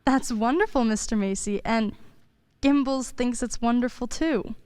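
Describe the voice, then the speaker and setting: A woman playing a brisk, businesslike store employee in a radio play. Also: a little high, darkish tone, clear, resonant tone, no echo, close to an everyday voice. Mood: pleased. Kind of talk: stage-style radio play acting.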